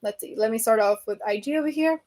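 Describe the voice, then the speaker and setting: A woman from Venezuela who hosts a book podcast talks casually with viewers on a live stream. Her voice sits a little high. She rambles, fills gaps with "um," and corrects herself mid-sentence. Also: quick pace, a little mellow. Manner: chatty and rambling, many fillers and self-corrections.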